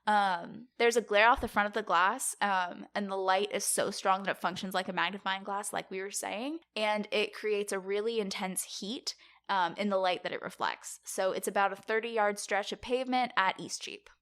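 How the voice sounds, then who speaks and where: A woman's voice: mid-range voice, moderate pace, fairly bright tone. A young adult woman co-hosting a podcast, explaining a topic in a casual conversational way. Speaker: podcast co-host presenting the episode's topic.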